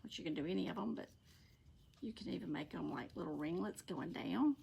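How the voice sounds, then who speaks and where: A middle-aged woman with a Southern US accent speaks in a raspy voice that crackles heavily with vocal fry. She is folksy and unhurried, like someone hosting a casual live craft tutorial. Faint noise sits behind her, picked up across a worktable at home. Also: fairly low pitch, darkish tone. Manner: folksy, unhurried, talking while working with her hands. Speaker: craft channel host. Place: indoor home craft room.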